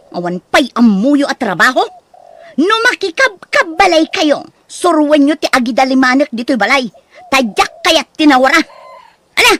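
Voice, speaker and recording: A woman's voice: sits high, sharp tone, full character voice. A woman with a Filipino accent playing a bossy, scornful daughter-in-law who rules her household, in a radio drama. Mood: angry, bossy. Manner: scolding, haughty.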